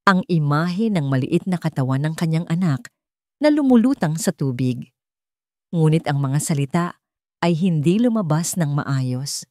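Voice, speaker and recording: Low-pitched woman narrating a dramatic story. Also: mellow, dry recording, clean studio sound.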